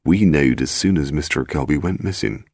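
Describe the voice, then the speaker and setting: A man's voice: extremely low pitch, normal speed, darkish tone, slightly rough, no room sound, clean studio sound, exaggerated voice. A man playing a knowing, gossipy village barman with a rural English accent and folksy, darkly insinuating talk. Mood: knowing, scornful.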